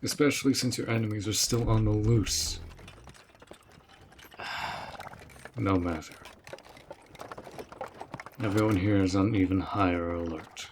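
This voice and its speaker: Orc general, male, played by a man: lowish, unhurried delivery, gruff and protective, earnest and tender beneath, for roleplay audio drama. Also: slight background noise. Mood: dutiful, worried. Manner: slow, measured, earnest, with sighs.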